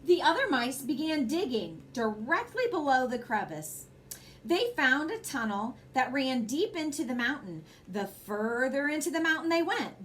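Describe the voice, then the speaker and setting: A woman's voice: on the high side, a bit bright, a little hiss or hum. A woman reading a children's bedtime story aloud expressively, with brief asides to her young listeners. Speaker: storybook reader and host.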